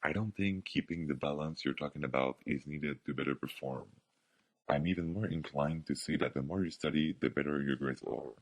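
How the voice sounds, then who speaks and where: A man's voice: a bass voice, a little fast, no room sound. A young man, a student, giving his view in a scripted radio debate with formal wording. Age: young adult.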